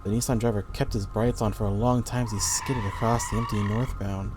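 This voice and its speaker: A man's voice: fairly low pitch, fairly even intonation, fairly quick. A man reading a scripted horror story as the narrator of an audio play. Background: background music.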